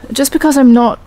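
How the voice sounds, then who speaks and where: A woman's voice: high-pitched, dark tone, a little hiss or hum. A woman playing a weary, irritable young woman traveller in an audio drama, sighing and sarcastic. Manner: exasperated, sarcastic sighing delivery.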